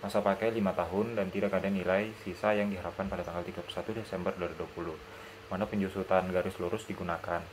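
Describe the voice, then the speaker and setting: A young man reads out lesson material as a student presenter. His voice is low, with faint noise behind it. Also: vocal fry, poor audio. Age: young adult.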